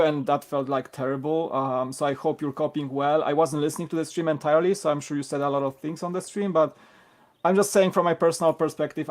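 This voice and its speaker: A man from Poland chatting on a live video-call stream, excitable, with stammered repeats, often trailing off quietly. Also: on the high side. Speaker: regular co-host joining a live stream. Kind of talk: casual live-stream chat.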